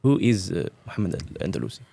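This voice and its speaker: A man's voice: deep voice, very animated, very fast, creaky, dark tone, husky, dry recording. A man in his twenties, a podcast host who introduces his guest and asks questions in a polite, deferential way, with many fillers. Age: 20s. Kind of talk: interview questions.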